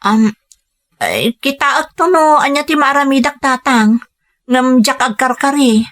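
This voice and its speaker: A woman's voice: sits high, very animated, a little slow, fairly bright tone, heavily acted. A woman with a Filipino accent playing a dutiful, patient young daughter working abroad in a radio drama, speaking in an earnest, conversational way. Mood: uneasy, restrained. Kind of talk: Ilocano radio drama acting.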